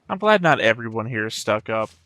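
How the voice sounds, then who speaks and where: A man's voice: lowish voice, sing-song, brisk, fairly bright tone, no room sound. A man hosting a reaction video, giving casual off-the-cuff commentary in a musing, speculative way.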